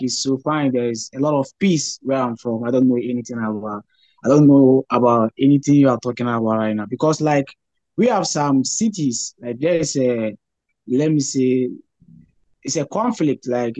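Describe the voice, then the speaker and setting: A young man from Ghana with a Ghanaian accent, a graduate student answering interview questions over a video call, halting and full of fillers. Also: mid-range voice, a little mellow, dry recording, poor audio. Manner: halting, repeats words, many "like" fillers.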